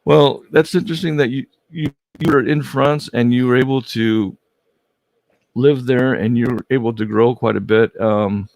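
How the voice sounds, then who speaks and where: A man's voice: medium pitch, steady tone, moderate pace, darkish tone, no room sound, rough recording. A man hosting a casual livestream interview, friendly and prone to stumbling restarts. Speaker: host.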